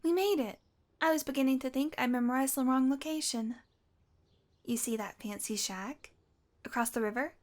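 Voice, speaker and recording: A woman's voice: high voice, moderate pace, natural voice. A woman playing a possessive fantasy healer in an audio roleplay, teasing and condescending, coaxing the listener with sweet menace and a domineering streak. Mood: pleased, coaxing.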